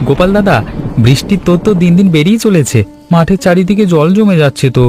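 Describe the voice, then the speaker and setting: A man's voice: sits high, animated, fast, over music. A man playing an earnest, agreeable village farmer and loyal friend in a cartoon story. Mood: worried. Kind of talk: Bengali cartoon voice acting.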